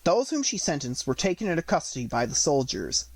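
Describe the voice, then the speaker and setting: A man narrating a storybook as an audiobook reader, in an expressive scripted reading. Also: fairly high pitch, brisk, breathy edge, no room sound.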